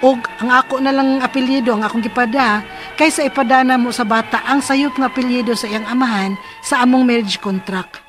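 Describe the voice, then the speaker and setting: A troubled young wife , acted by a woman, pleads earnestly in a high voice with a Cebuano Filipino accent. It is radio drama delivery, part dialogue and part letter narration, over a music bed. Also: steady tone, brisk, natural voice. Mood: troubled, pleading. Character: troubled pregnant young wife seeking advice.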